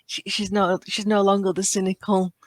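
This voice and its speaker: A woman speaking as a podcast guest in casual fan discussion, earnest and appreciative, often halting as she finds her words. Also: medium pitch, flat delivery, no room sound.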